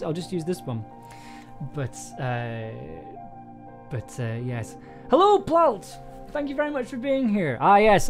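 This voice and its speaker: A young man chatting casually on a livestream, rambling and hesitant, often breaking off and restarting his own sentences. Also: fairly high pitch. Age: young adult. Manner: rambling, hesitant, self-interrupting. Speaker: audio drama maker and livestream host. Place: small indoor home room.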